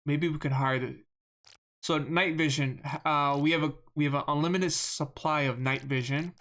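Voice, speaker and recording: A game streamer with a monotone voice, rambling through live gameplay commentary, self-deprecating and often repeating words. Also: mid-range voice, moderate pace.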